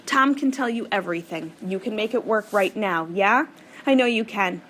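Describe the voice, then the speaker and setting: A woman's voice: mid-range voice, animated, moderate pace, a bit bright, a little hiss or hum, lightly acted. A woman reading an audiobook, voicing a busy, loving mother who sounds breathless and tender on the phone.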